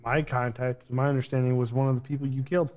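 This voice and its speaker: Defiant low-rung male gang prisoner, acted by a man for game roleplay; dull, lo-fi sound. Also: flat delivery, fast talker, natural voice. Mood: guarded.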